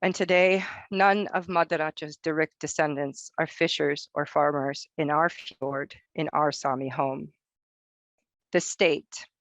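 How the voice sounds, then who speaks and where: A woman from Northern Norway, a researcher giving a prepared academic presentation, reading her text in a measured way. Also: deep voice, dry recording.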